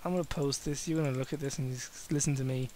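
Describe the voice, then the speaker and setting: A man's voice: medium pitch, fairly even intonation, fast, darkish tone, slight background noise. A man giving a comic amateur dramatic reading of fan fiction, stumbling over words and breaking off to heckle the text with swearing.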